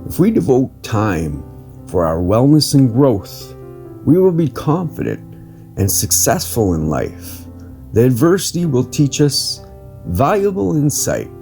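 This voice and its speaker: Slow, mellow low-voiced man reading inspirationally. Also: very animated, gravelly. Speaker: podcast host and reader. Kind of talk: scripted narration, inspirational article reading. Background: soft plucked guitar music.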